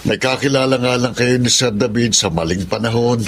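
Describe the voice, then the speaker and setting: A man acting a concerned, kindly household servant in a radio drama, deferential and gently preachy. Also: medium pitch, moderate pace, slight background noise, natural voice. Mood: concerned, chiding.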